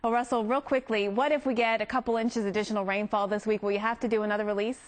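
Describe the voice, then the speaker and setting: An American woman speaks as a live television news anchor. Her voice is mid-pitched, and she moves between scripted introductions and interview questions. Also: fairly even intonation, fast talker.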